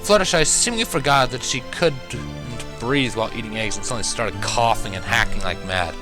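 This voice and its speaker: A man's voice: mid-range voice, normal speed, music in the background. A man narrating a first-person fan fiction story in a wry, dramatic manner.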